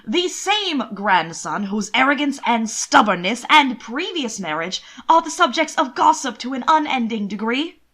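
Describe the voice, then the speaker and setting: A blustering middle-aged earl acted out by a woman in a period storybook. The delivery is emphatic and indignant, swinging widely in pitch with proud outrage. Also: sing-song, full character voice. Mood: loud indignation. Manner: loud, emphatic, slow deliberate outrage.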